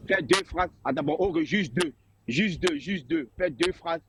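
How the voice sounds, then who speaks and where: A man's voice: a little high, measured pace, noisy background. A man in a live debate, insistently pressing another speaker with repeated questions. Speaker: debate participant.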